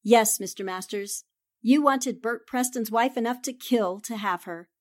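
A woman's voice: on the high side, measured pace, close to an everyday voice. A woman playing a shrewd, confident newspaper reporter with poised, theatrical delivery in a 1940s-style radio drama. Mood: cool accusation. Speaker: voice actor.

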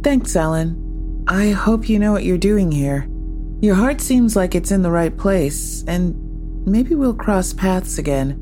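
A woman's voice: on the low side, expressive, slow, darkish tone. A woman acting a guarded but kindly companion in an audio drama, with music under her voice. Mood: wistful farewell.

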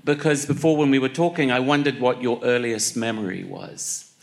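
A man's voice: mid-range voice, fairly even intonation, normal speed, gravelly. A man interviewing a guest, asking curious, probing questions.

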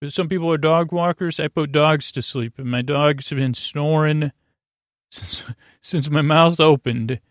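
A man with a creaky voice hosting a sleep podcast, meandering and hesitant as he drifts into tangents to lull listeners. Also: a little high, unhurried, no echo, clean studio sound. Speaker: podcast host. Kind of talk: rambling bedtime monologue meant to lull listeners to sleep.

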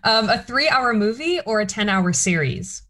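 A woman hosting an interview, asking brisk rapid-fire questions with friendly reactions. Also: on the high side, lively intonation, pushed and bright. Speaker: host, interviewer. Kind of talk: casual interview chat.

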